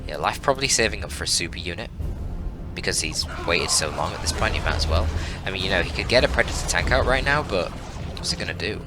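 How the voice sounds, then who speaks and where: A man's voice: low voice, expressive, fast talker, over music. A man giving analytical, informal live game commentary in a casual, mildly sweary, exclamatory way. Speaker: esports caster, channel host.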